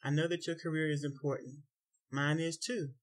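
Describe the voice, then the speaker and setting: Guy voicing a frustrated husband in a story, low tight voice, stern. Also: normal speed, a bit bright, husky. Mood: soft. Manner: low and tight, dropping to near whisper.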